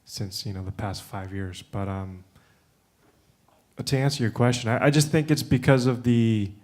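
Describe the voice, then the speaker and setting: A man with a fairly low voice speaks as a panelist at a conference. He grew up in the United States and talks loosely, stopping to restart and filling pauses with "um" and "uh.". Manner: relaxed, with many fillers and restarts. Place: conference room.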